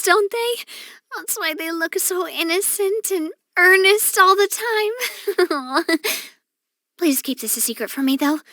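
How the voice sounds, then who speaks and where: Bright, high-pitched, bubbly game heroine. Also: female voice, dry recording, studio quality, heavily acted. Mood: delighted. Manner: perky, dramatic, playful. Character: bubbly, theatrical young woman, boss of an organization. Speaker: voice actor. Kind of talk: expressive video game character voice lines.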